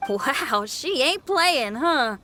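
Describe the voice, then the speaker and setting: A woman's voice: high-pitched, expressive, drawn-out words, over music, full character voice. An actress voicing a brash, upbeat young woman musician and adventurer in a video game, talking in a punchy, slangy, cool manner. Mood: surprised. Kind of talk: video game character dialogue.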